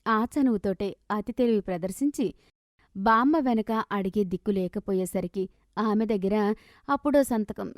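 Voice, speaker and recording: A woman tells a scripted moral tale as its narrator. Her voice sits at a middling pitch for a woman, and the recording is dry and close. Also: darkish tone, no room sound. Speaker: story narrator.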